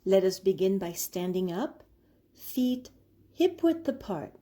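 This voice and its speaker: A woman guiding a stretching routine in measured, step-by-step instructions. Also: mid-range voice, very animated, warm tone. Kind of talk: guided exercise voice-over, step-by-step instructions.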